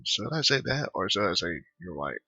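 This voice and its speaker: A man's voice: deep voice, very animated, normal speed, sharp tone, breathy edge, no room sound, lo-fi sound, faint music behind. A man giving casual, rambling, hesitant commentary while playing a game. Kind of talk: casual game commentary, reading choices aloud.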